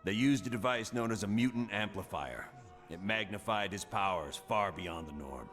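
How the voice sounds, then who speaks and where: A man's voice: lowish voice, animated, moderate pace, a bit bright, long reverb tail, faint music behind. An actor playing a direct, no-nonsense male commander briefing a team. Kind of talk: mission briefing.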